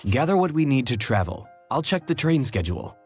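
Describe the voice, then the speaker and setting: An actor playing a composed, eager detective in a mystery game. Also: male voice, medium pitch, very animated, a little fast, loud music behind the voice. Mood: brisk, businesslike. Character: composed, eager male detective rousing his partner. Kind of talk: video game voice acting.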